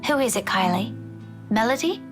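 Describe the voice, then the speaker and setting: A woman performs an earnest young heroine of a visual novel. The voice is high and animated, gentle yet insistent. Music runs clearly underneath. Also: moderate pace, close to an everyday voice. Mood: concerned, coaxing. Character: earnest, caring young woman, bewildered but stubborn.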